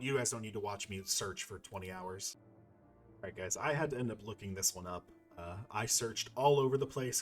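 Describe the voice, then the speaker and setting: Casual male gamer thinking aloud over music. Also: on the low side. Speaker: video game playthrough host. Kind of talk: casual gameplay commentary.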